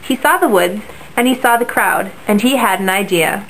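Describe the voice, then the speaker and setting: A young woman narrating a fable with a steady, clear reading for a class puppet show. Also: medium pitch, normal speed, a little hiss or hum, low-quality recording. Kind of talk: read-aloud storybook narration for a class project.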